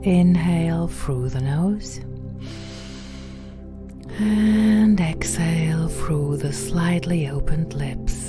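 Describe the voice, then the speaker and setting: Slow, low-voiced woman guiding meditation. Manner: gentle, soothing, unhurried, softly spoken with breathy passages. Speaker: meditation guide and podcast host. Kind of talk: guided meditation narration. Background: background music.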